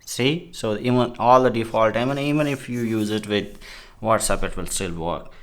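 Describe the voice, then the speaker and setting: Low-voiced man reviewing a gadget. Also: normal speed, fairly bright tone. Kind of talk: casual product demo.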